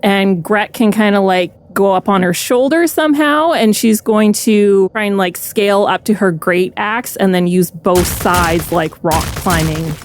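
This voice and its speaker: Female tabletop player, slow-paced, casual and improvised delivery, slight noise behind. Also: medium pitch. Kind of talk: casual improvised roleplay chat.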